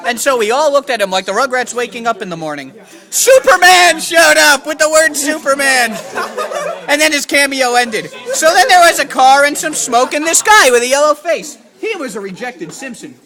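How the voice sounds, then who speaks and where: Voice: sits high, lively intonation, normal speed, bright, forceful tone. A person improvising an absurd, profane comic story in a mock storybook narration.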